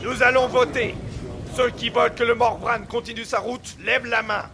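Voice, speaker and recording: An actor playing an authoritative, sceptical ship's captain, speaking firmly in an audio drama. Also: male voice, very high voice, steady tone, normal speed, vocal fry, background noise. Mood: commanding. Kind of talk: French audio drama acting.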